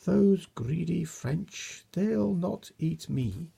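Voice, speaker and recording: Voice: mid-range voice, expressive, very slow, vocal fry, warm tone, husky, exaggerated voice. A reader doing an unflappable giant magic snail. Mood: serene.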